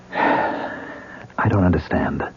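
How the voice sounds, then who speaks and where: An actor playing a tormented man who hears ghost voices in an old radio drama, agitated and husky. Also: drawn-out words. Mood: tense. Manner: agitated, swinging between calm and outbursts. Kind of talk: 1970s radio drama acting. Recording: muffled lo-fi old radio broadcast.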